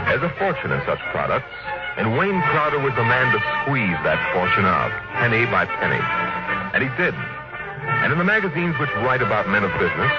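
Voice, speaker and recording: An actor narrating an old-time radio drama as a grave storyteller, with an emphatic, deliberate and rhetorical delivery. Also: male voice, on the low side. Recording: dull vintage radio recording. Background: music.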